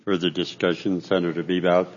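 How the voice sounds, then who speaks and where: A man's voice: low-pitched, flat delivery, fairly quick. A man presiding over a senate session, brief and procedural as he calls on speakers. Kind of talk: formal chairing of debate. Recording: dull lo-fi chamber audio.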